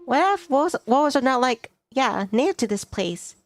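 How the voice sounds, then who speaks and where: A woman's voice: high-pitched, big pitch swings, moderate pace, voice pushed up. Woman reading as a wry young woman. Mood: dry. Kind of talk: reading visual novel lines aloud. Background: game music.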